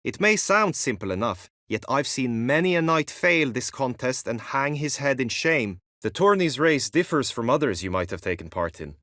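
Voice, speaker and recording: An actor playing an earnest, lovesick, chivalrous knight in a video game, with formal, archaic courtly phrasing and an anxious streak. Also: male voice, mid-range voice, very animated, moderate pace, hardly any pauses, fairly bright tone, no room sound, clean studio sound. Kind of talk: video game character acting.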